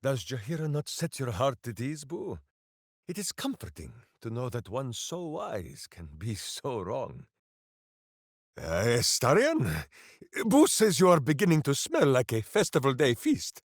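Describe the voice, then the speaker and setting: An actor playing a big, simple-hearted, heroic warrior in a video game, speaking in a grandiose, earnest, theatrical way. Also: male voice, mid-range voice, huge pitch swings, a little slow, dry recording, clean studio sound, full character voice. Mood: earnest, solemn. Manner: grandiose, earnest, speaks of himself in third person. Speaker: video game companion character.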